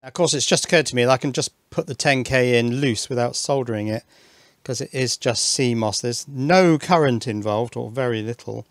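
A man's voice: medium pitch, moderate pace, no room sound. A man giving casual workbench commentary as an electronics hobbyist, thinking aloud and questioning himself as he goes. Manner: musing, self-questioning, muttering asides. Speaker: electronics hobbyist video maker. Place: indoors at a workbench.

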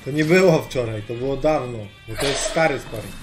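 A man's voice: mid-range voice, brisk. Blunt, swearing man streaming over music. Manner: blunt, swearing, musing aloud. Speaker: streamer commenting on a video. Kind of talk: casual live-stream commentary. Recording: stream audio with music underneath.

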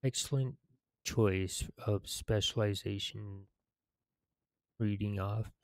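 A man in his thirties reading game quest text aloud in a deliberate, halting way. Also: fairly low pitch, fairly even intonation, no echo.

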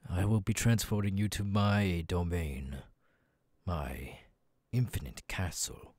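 A man voicing a cold, domineering demon lord in an ASMR audio roleplay, commanding and contemptuous, dropping into breathy murmurs. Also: sits low, fairly even intonation, slow, darkish tone, no echo, clean studio sound. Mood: menacing, domineering.

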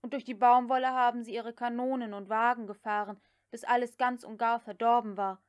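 A woman's voice: fairly high pitch, steady tone, a little fast, smooth, natural voice. A woman voicing a deferential, anxious male house slave in an audiobook, proud but tactful, with a plaintive way of protesting. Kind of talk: audiobook character dialogue.

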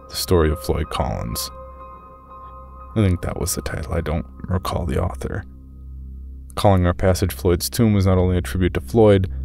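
A man's voice: deep voice, creaky, darkish tone. A man narrating a first-person journal as an audio story.

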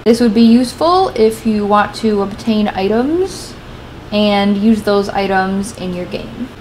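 A young adult woman narrating a software tutorial step by step, in an informal, matter-of-fact way. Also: medium pitch, unhurried, a little hiss or hum, poor audio. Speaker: tutorial creator and channel host. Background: guitar music.

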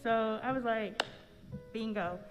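A woman's voice: fairly high pitch, slow pace. A middle-aged American woman speaking casually and anecdotally to a congregation, as an artist telling her story. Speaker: artist and church member. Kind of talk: informal testimony to a congregation. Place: large church sanctuary. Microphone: stand microphone. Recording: long hall reverb, faint music.

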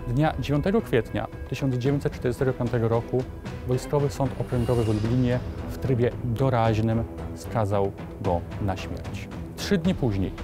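A man, giving formal documentary commentary. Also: medium pitch. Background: music.

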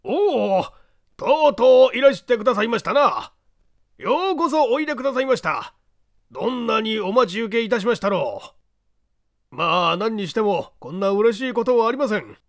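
A man voicing a polite, effusive gentleman who owns an old castle, in audiobook dialogue with a deferential manner. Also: high voice, sing-song, moderate pace, no echo, clean studio sound, pitched above the performer's own voice. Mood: delighted, gushing. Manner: deferential, gushing, hesitant politeness.

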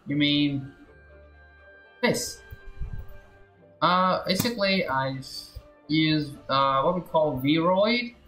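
A man's voice: on the high side, expressive, very slow, long pauses, bright, forceful tone. A young man streaming a game with casual, laid-back and slightly hesitant chat. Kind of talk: casual livestream gameplay chat. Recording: roomy echo, game music behind. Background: game music.

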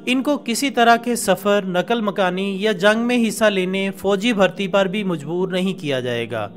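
A male narrator delivers a scripted documentary in a high-pitched voice. His telling is formal and measured, like a prepared story read out. Soft music plays underneath the narration. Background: background music.

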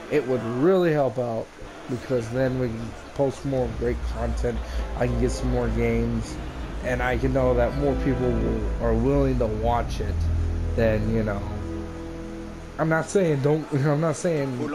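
A man from the United States giving casual, rambling let's play commentary into a gaming headset. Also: mid-range voice. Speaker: gamer recording a let's play. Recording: noisy headset mic, game ambience. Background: video game ambience.

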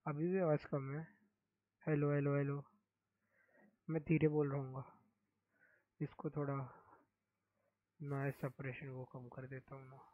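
A young man from India live-streaming a game, speaking softly and hoarsely with a sore throat in casual chat. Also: mid-range voice, little pitch movement, measured pace, pauses often, dry recording, narrow, tinny audio. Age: young adult. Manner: speaks softly, mic-checking.

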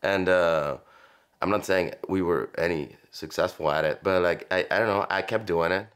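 A man's voice: sits low, normal speed, a bit bright, clear, resonant tone. A male comedian of Bulgarian and Congolese roots, rambling with swearing and restarts in an interview. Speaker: stand-up comedian. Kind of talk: documentary interview.

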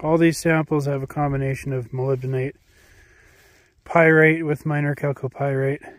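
A man with a slightly high voice gives a calm, matter-of-fact field commentary outdoors, listing minerals in each rock he shows. The sound is dry and close, as if from a handheld camera. He talks in a plain, casual tone. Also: normal speed, dry recording. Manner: matter-of-fact, names minerals while showing rock samples. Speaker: mineral exploration vlogger. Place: outdoors at a snowy abandoned mine site.

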